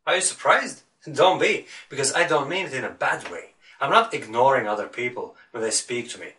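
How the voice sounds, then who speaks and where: A man's voice: medium pitch, lively intonation, a little fast, sharp tone, recorded from a distance, a little hiss or hum. A man from Latvia with a Latvian accent, coaching viewers in English in an earnest video lesson and putting rhetorical questions to them.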